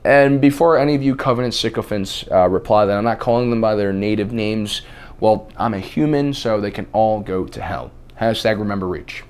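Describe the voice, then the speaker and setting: A man hosting a scripted video essay, with a slightly raspy voice and a deadpan, mock-serious delivery. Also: on the low side, brisk. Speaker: host.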